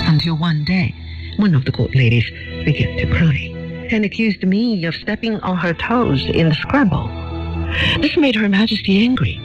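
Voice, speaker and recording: Male narrator, fairly high register, audiobook-style memoir reading; dull, lo-fi radio sound, faint music behind.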